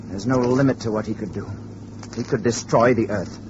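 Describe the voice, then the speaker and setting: An actor playing a grave, serious alien visitor in human guise in a 1950s radio drama, speaking deliberately. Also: male voice, lowish voice, lightly acted. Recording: noisy, dull old radio recording.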